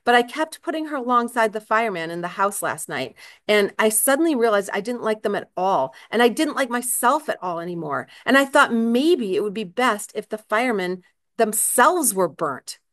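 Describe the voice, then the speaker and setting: A woman's voice: a little high, expressive, normal speed, fairly bright tone, pitched above the performer's own voice. A woman voicing a desperate, agitated husband in a novel reading, speaking with urgent, earnest pleading. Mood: troubled, earnest.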